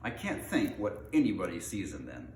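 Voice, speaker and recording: A man's voice: deep voice, huge pitch swings, normal speed, a little mellow. A man voicing a fussy, polite middle-aged hobbit who is easily flustered, with chatty old-fashioned phrasing, in a storybook reading. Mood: disapproving. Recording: roomy echo, faint background noise.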